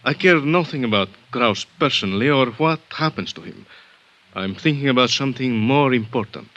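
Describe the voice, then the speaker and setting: Stern Latin American police lieutenant, formal and measured, medium pitch, dull vintage radio recording. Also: male voice, expressive, fairly bright tone. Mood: grave warning. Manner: formal, measured, avoids contractions. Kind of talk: 1950s radio drama acting.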